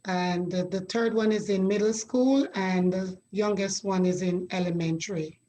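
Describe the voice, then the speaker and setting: A middle-aged woman from Jamaica, a guest sharing her story in a measured, reflective way with pauses. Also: medium pitch, fairly even intonation, mellow. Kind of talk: conversational interview.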